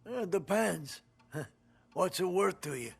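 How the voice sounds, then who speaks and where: Sly street informant, raspy, highish voice, cagey, crime game, background music. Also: male voice, lively intonation, slow pace, heavily acted. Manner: cagey, wheedling. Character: sly, cagey male street informant haggling over secrets. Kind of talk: cinematic crime game acting.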